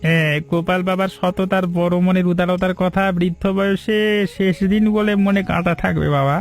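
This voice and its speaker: A man acts out a frail old family retainer in a cartoon tale. The voice sits high and is full of fry. He speaks plaintively and emotionally, with light music underneath. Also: fairly even intonation, moderate pace. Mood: touched, grateful. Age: older. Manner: plaintive, emotional, effusively grateful. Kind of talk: cartoon story dialogue.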